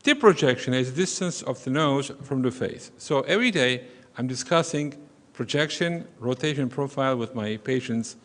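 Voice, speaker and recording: A middle-aged man from Turkey with a Turkish accent, giving a measured, explanatory conference lecture as a surgeon. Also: medium pitch, animated, a bit bright. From western Turkey. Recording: long reverb of a conference hall.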